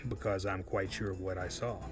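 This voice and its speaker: A man's voice: deep voice, fairly even intonation, fairly quick, over music, natural voice. A man playing a composed, coldly polite male witness in an audio drama, speaking formally and unruffled. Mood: icy.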